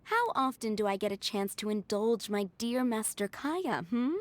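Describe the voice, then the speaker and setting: An actress playing a doting head maid in a video game, motherly, gently teasing and politely coaxing. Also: fairly high pitch, lively intonation, moderate pace, dry recording, close to an everyday voice. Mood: fond, coaxing. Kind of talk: video game character voice acting.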